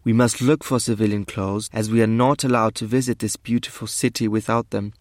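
Man as wartime German medical officer, lowish voice, measured formal diary reading, dry sound. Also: little pitch movement, no room sound, lightly acted. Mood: matter-of-fact. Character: German Second World War army medical officer writing his diary.

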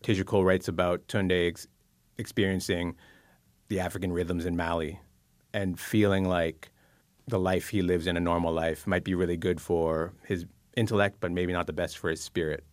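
A man, a book columnist and psychotherapist, talks through his thoughts in a reflective interview, musing with pauses and fillers. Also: sits low, steady tone, no echo.

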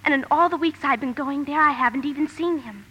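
An actress playing a sweet, artless, kindly younger sister in old-time radio drama style. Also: female voice, high voice, fairly quick, bright, forceful tone. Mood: shy, sweet. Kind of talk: 1940s-50s radio drama acting. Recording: noisy vintage radio broadcast recording.

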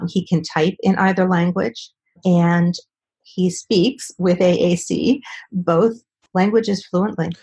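A woman guest with a husky, lower voice explains child language development. She speaks with confident, reassuring authority and steady explanation. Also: slow pace, rough recording. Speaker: speech and language specialist guest. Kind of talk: expert explaining in an interview. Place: Southern California.

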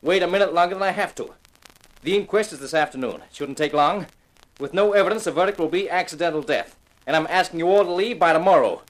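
A cold, unyielding heir played by a male actor lays down orders in a high-pitched voice. His delivery is clipped and emphatic, in the manner of 1950s radio mystery drama. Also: fast talker, natural voice, low-quality recording. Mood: cold, resolute. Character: curt, unyielding male heir, coldly self-interested.

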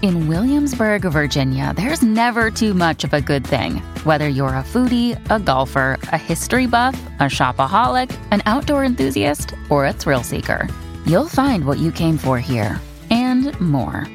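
A woman's voice: lowish voice, very animated, normal speed, music in the background. A woman reading scripted voice-over for a tourism commercial.

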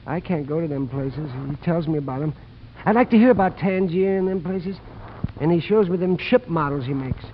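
Actor as high-voiced, jittery little American man. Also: fairly quick, close to an everyday voice. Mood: pleading, evasive. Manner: jittery, stammering, repeats himself. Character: nervous, rabbity little man, pleading and evasive. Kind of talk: 1950s radio drama acting. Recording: dull noisy vintage radio recording.

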